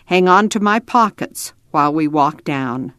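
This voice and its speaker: Woman reading as a steady, unhurried pioneer father. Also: sits low, clean tone, no echo, studio quality. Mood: calm, encouraging. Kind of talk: children's storybook character voice.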